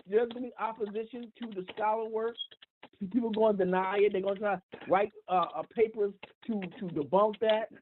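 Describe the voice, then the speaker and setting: A man's voice: sits high, a little hiss or hum. A man speaks as a panelist on a call-in talk show, heard through a narrow, tinny phone connection. He is impassioned and emphatic, often doubling back and repeating words as he builds his point. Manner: repetitive, halting restarts, emphatic. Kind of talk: impassioned discussion. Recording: tinny phone conference line.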